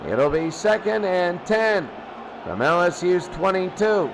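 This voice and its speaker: High-pitched man calling football play-by-play. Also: lively intonation, takes it slowly, slight background noise, low-quality recording. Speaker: play-by-play commentator for a video game football stream. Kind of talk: sports play-by-play commentary.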